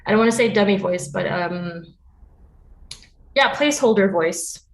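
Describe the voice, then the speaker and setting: A woman talking casually as an interview guest about her work as a producer, chatty and quick to laugh. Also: mid-range voice, lively intonation. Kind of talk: casual, animated interview chat.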